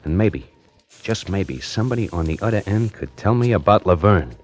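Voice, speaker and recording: Streetwise, restless salesman narrator, low voice with big swings, 1940s radio suspense, music behind. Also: expressive, normal speed. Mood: brooding, intrigued. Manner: streetwise, colloquial, dramatic. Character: slangy, restless, hard-up male necktie salesman narrating his troubles. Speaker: lead actor and narrator of a radio suspense play.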